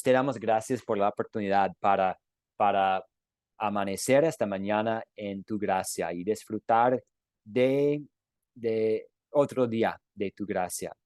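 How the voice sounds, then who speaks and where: A man teaching an online Bible class who closes with a prayer, speaking gently, with many fillers and restarts. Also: on the low side, dry recording, clean studio sound. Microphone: video call.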